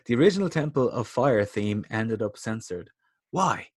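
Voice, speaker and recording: A man's voice: mid-range voice, expressive, moderate pace, breathy edge, dry recording, clean studio sound. A man hosting a casual quiz in chat, playful and teasing. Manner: playful and teasing, laughing often. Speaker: quiz host.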